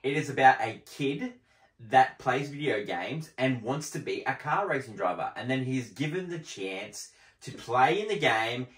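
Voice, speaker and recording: A man's voice: mid-range voice, animated, measured pace, fairly bright tone. A man hosting a movie review show, presenting in a casual, conversational style. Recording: echoey room.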